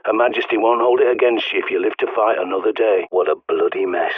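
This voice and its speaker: Game role: a husky, low-voiced British prepper on the radio, blunt, cynical, profane. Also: male voice, steady tone, fast talker, no room sound. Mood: gruff. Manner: sardonic, blunt, swears often. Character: gruff male survivalist radio guide, cynical. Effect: radio transmission. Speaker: radio guide character. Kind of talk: video game voice acting. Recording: tinny radio line.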